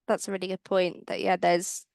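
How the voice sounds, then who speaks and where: A woman's voice: lowish voice, completely flat delivery, moderate pace, vocal fry, darkish tone, no echo, studio quality. A woman moderating a webinar panel, politely asking questions and thanking speakers. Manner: polite, encouraging.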